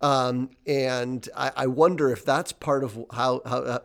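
A man's voice: mid-range voice, steady tone, a little slow, gravelly. Thoughtful, hesitant man. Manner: thoughtful, hesitant, many fillers. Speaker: podcast co-host. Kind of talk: casual faith discussion.

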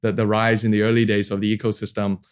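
A man in his forties from Hong Kong speaks as a guest in a casual interview. His voice sits fairly low, and he feels his way through sentences, often dropping in "you know" as he goes. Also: completely flat delivery, quick pace, clear, resonant tone. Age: 40s. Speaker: podcast guest, venture capital founder. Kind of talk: casual interview talk about own career.

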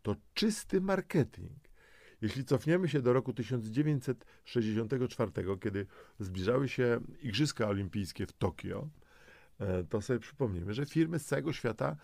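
A man co-hosting a health programme, explaining conversationally to the listener with deliberate stress on key words. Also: medium pitch, animated, gravelly, darkish tone, no echo. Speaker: co-host of a health audio programme.

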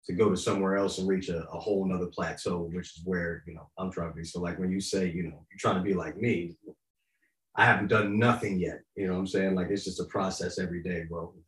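Low-voiced New York man in a roomy recording. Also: steady tone, quick pace, a bit bright. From Yonkers, New York, United States. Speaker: podcast guest. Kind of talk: casual conversation.

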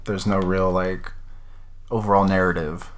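A man chatting casually about films, laid-back and quick to laugh. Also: sits low, flat delivery. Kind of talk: casual film review chat.

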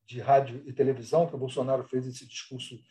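A man with a Brazilian accent speaking spontaneously as an academic in an online panel, halting and discursive, often rephrasing and adding tag questions. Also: medium pitch, flat delivery, mellow. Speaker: academic researcher and thesis supervisor.

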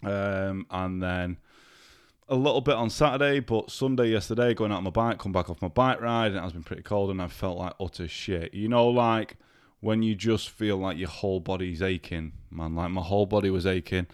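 A man's voice: sits low, moderate pace, clear, resonant tone, no room sound, clean studio sound. A man hosting a casual solo podcast, chatty and swearing freely while sounding ill and congested. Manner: chatty, swears freely, addresses listeners directly. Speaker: podcast host.

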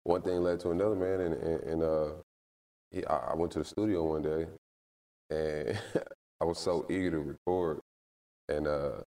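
Deep-voiced laid-back American man. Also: steady tone, moderate pace, pauses often, dark tone, far from the mic. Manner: laid-back, slangy, lots of "you know what I mean". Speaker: guest, recording artist. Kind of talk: casual interview chat.

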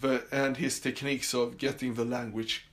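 A man's voice: mid-range voice, little pitch movement, moderate pace, a bit bright. A man from Sweden with a Swedish accent, hosting a casual solo podcast from his summer house, talking with many fillers and restarts. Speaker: podcast host.

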